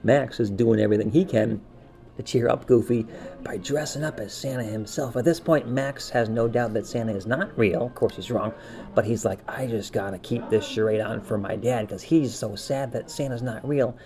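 A man's voice: medium pitch, normal speed, warm tone. A man co-hosting a podcast in casual, opinionated chat, informal and enthusiastic. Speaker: podcast co-host.

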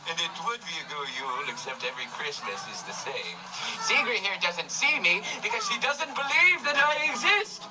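Performer playing a jolly Norwegian gnome, distant in a loud crowd, swooping theatrical delivery, mischievous, live stage show. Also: medium pitch, very animated, normal speed, over loud music, close to an everyday voice. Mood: playful grumbling. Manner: exclamatory, playful, theatrical. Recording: noisy live crowd recording, distant mic. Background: loud crowd and ambience.

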